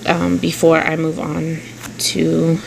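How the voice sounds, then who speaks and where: A woman's voice: low-pitched, monotone, takes it slowly, gravelly, background noise. A young woman talking casually to viewers as she cleans a small home bathroom, her voice picked up by a camera set nearby. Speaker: cleaning vlogger.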